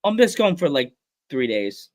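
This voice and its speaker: A man's voice: on the high side, sing-song, normal speed, dry recording, clean studio sound. Stuffed-up man hosting a podcast, chatty. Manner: chatty, laughs often. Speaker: podcast host. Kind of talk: casual chat.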